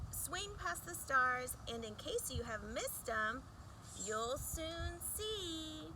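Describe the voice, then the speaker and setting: A woman reading a rhyming picture book aloud for children in a sing-song, emphatic way with deliberate pauses. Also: high-pitched, noisy background.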